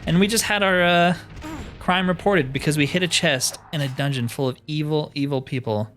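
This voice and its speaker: A man's voice: on the high side, normal speed, a bit bright, soft background music. A man giving casual, chatty let's-play commentary, often explaining himself in asides. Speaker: host of a gaming playthrough.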